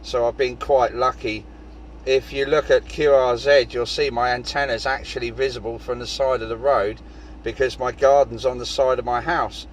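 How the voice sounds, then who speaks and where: A man's voice: medium pitch, little pitch movement, normal speed, low-quality recording. A man with a British accent talks in a rambling, conversational way, as an amateur radio operator in a casual chat. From United Kingdom. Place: in a parked vehicle outdoors. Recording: clear vehicle and outdoor background noise.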